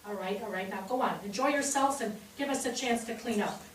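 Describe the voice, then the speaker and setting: A woman playing a brisk, motherly middle-aged daughter-in-law managing the household in a staged play reading. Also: on the high side, lightly acted. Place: theatre stage, recorded from afar. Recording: distant noisy microphone in echoey theatre.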